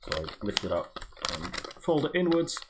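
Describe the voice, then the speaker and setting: A man's voice: lowish voice, sing-song, moderate pace. A man hosting a charity livestream, chatting casually and muttering to himself as he follows craft instructions. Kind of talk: casual chat while folding origami and reading instructions. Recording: clear background noise.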